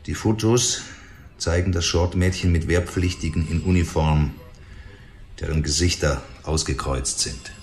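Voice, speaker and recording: Deep-voiced clipped forensics man. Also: fairly even intonation, a little slow, a little mellow. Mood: matter-of-fact. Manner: clipped, reporting findings. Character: clipped, businesslike male police lab technician. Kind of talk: noir radio drama acting.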